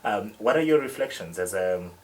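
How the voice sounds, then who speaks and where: A man's voice: fairly low pitch, expressive, moderate pace, darkish tone, far from the mic, a little hiss or hum. A man hosting a radio interview from a studio, friendly, with an easy laugh. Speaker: radio host.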